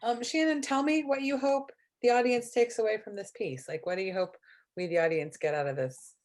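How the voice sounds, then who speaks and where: A woman's voice: a little high, fairly quick, a little mellow. A woman acting as interviewer, asking casual, conversational questions.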